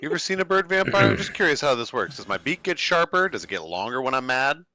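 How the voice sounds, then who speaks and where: A man's voice: mid-range voice, sing-song, fast, sharp tone. A man playing a tabletop game, bantering casually with joking, quizzical questions.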